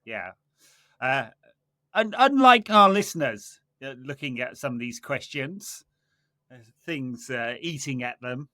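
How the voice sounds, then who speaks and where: A man's voice: mid-range voice, lively intonation, measured pace, bright, forceful tone, dry recording. A man hosting a casual football podcast, chatting with dry, sardonic banter. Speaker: podcast host.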